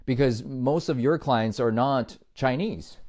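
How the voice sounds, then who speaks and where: A man's voice: medium pitch, unhurried, darkish tone. A man hosting a podcast interview who eagerly sums up his guest's points and turns to the audience to drive them home. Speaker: podcast host.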